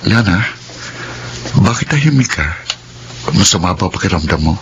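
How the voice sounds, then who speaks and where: A man's voice: low voice, sing-song, moderate pace, muffled recording, noisy background. An actor playing an attentive, devoted young working man in love in a Filipino radio drama. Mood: concerned.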